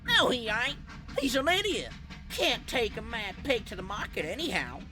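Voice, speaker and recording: A woman's voice: a little high, big pitch swings, normal speed, over music. A woman voicing a blunt, dismissive country hillbilly with folksy talk in a comic fan dub.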